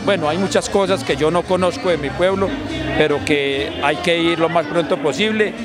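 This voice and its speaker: A man's voice: on the high side, moderate pace. A middle-aged man from Antioquia, Colombia, with an Antioquian Colombian accent, chatting earnestly about his music career in an outdoor street interview. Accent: Colombian Spanish, Antioquia. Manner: earnest, chatty, with frequent restarts. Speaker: singer and songwriter. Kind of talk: casual interview chat. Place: outdoors at a busy urban gathering. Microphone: handheld mic held by interviewer. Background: crowd chatter and loud music.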